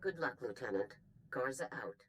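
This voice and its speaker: An actress playing a stern, brisk military general, giving a formal, authoritative mission briefing in a video game. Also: female voice, very deep voice, animated. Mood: commanding. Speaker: commanding officer.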